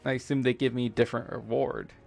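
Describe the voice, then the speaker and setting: A man from the United States whose first language is Spanish, giving halting, filler-heavy casual commentary while streaming a game playthrough. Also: medium pitch, little pitch movement, creaky, darkish tone, soft background music. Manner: halting, full of fillers and restarts. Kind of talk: casual let's-play commentary.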